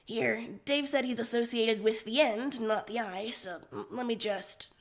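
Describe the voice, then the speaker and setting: A woman's voice: fairly high pitch, fairly even intonation, a little slow. A woman voicing a brash, grumpy, foul-mouthed man in a story reading, with a blunt and irritable manner.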